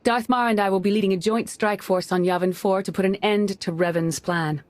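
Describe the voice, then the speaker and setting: An actress playing a dignified Jedi grand master in a video game, formal, measured and diplomatic, with calm authority. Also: female voice, mid-range voice, no echo, lightly acted. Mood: formal, resolute. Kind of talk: dramatic video game acting.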